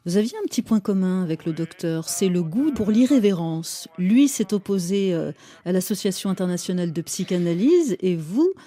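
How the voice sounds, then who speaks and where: A woman hosting a radio interview, calmly summarizing her guest's story and asking questions. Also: fairly low pitch, normal speed. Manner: composed, summarizing and prompting the guest. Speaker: radio host.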